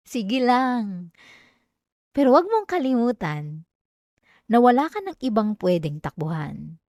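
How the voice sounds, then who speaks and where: A woman's voice: medium pitch, darkish tone, dry recording, lightly acted. A young woman with a Filipino accent voicing an obsessive, possessive female classmate in an intimate, dramatic ASMR roleplay, addressing the listener up close. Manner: intimate, pleading turning coldly threatening, dramatic. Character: obsessive yandere female classmate, clingy, wounded, menacingly possessive. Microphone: close microphone, dry sound.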